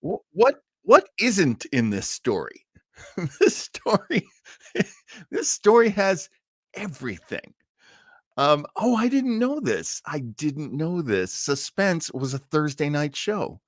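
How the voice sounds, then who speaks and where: Gushing man, hugely animated pitch. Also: slow pace, no room sound, clean studio sound. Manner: gushing, enthusiastic. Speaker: host of an old-radio listening party. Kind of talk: casual excited commentary.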